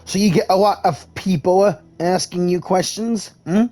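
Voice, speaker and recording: A man's voice: sits high, normal speed, warm tone, soft background music. A man speaking casually and informally as a gamer voicing along with a video game.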